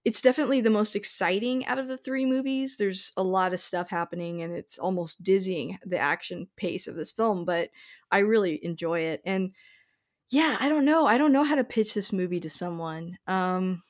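A woman's voice: medium pitch, normal speed. Female podcast host, conversational and enthusiastic, mid-range voice, informal film-talk delivery. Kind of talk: casual chat about films.

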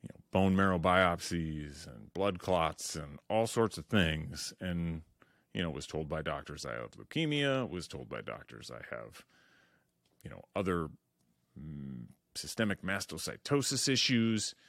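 A middle-aged man with a very deep, low voice talks through a reflective solo podcast. He speaks candidly and earnestly, often restarting his sentences. The sound is dry and close, with no room echo. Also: big pitch swings, a little slow, gravelly, fairly bright tone. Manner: candid and earnest, with frequent "you know" and restarts. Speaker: podcast host.

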